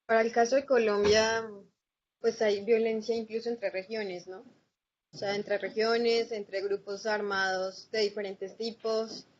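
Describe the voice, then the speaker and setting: A woman's voice: mid-range voice, little pitch movement, unhurried. A woman taking part in a seminar, giving an informal explanatory answer. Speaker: seminar participant. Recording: muffled, faintly noisy recording.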